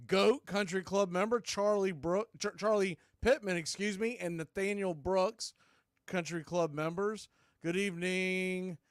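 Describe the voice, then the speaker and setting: Higher-voiced man calling baseball. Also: steady tone, measured pace, clean tone, dry recording, studio quality. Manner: folksy, informal, addresses chat listeners. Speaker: baseball play-by-play streamer and show host. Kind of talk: casual live sports commentary.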